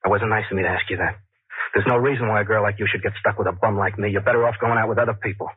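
An actor playing a volatile, manipulative ex-boyfriend in a 1940s radio drama, slangy and swinging between coaxing and bullying. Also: male voice, lowish voice, fairly even intonation, very fast, slightly rough, no room sound. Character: volatile, manipulative male ex-boyfriend, wheedling then menacing. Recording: tinny, muffled old 1940s radio recording.

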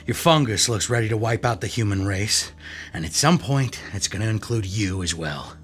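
A man's voice: on the low side, animated, normal speed, a bit bright. A man playing a defiant, angry detective held captive in a radio drama.

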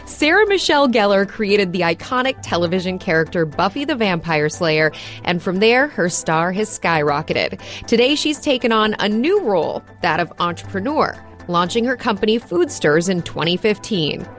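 A woman's voice: mid-range voice, big pitch swings, normal speed, fairly bright tone. Podcast host lady, upbeat, faint music under her. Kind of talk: interview podcast, scripted intro.

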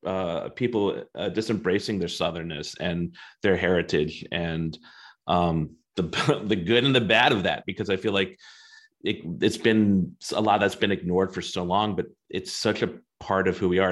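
A middle-aged man from the southeastern United States, hosting a casual interview in a friendly, halting way. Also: deep voice, a bit bright. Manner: friendly, halting, restarts with fillers. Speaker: host.

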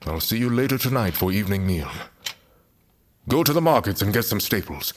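A man's voice: on the low side, sing-song, brisk. A man playing a stern, middle-aged clan leader and father in a fantasy audio drama, terse and commanding. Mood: gruffly caring.

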